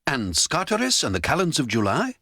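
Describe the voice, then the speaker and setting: A man's voice: a little high, wildly swooping pitch, fairly quick, bright, forceful tone, dry recording, studio quality, pitched above the performer's own voice, heavily acted. A man voicing an eager, questioning young nephew in an audiobook drama, earnest in delivery. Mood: puzzled.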